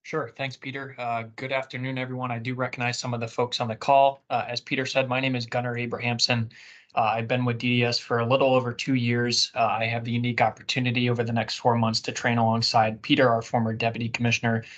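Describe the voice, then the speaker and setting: A young man talks quickly with little pitch movement. He is introducing himself in an online work meeting, through somewhat muffled audio. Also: level tone, a bit bright. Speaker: government agency employee. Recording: dull, lo-fi video call audio.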